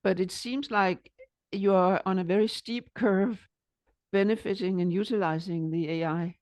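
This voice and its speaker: A woman's voice: mid-range voice, steady tone, normal speed, darkish tone, no room sound. A woman, a business psychologist co-hosting an interview, speaking in short listed phrases. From Denmark.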